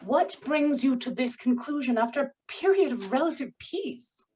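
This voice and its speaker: A woman's voice: sits high, animated, normal speed, darkish tone, slight background noise. A woman playing a composed, controlling wife in a period drama table read, speaking in a poised, formal way. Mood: guarded, formal.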